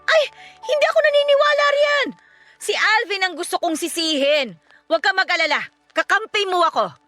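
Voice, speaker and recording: A woman playing a sharp-tongued, bossy, gossipy aunt in a Filipino radio drama, exclaiming bluntly with a Filipino accent. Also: very high voice, animated, brisk, dry recording, soft background music, full character voice. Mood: indignant.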